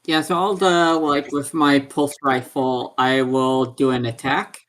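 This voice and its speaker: Man playing a tabletop game, medium pitch. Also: little pitch movement, unhurried, a bit bright. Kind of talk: casual game chat.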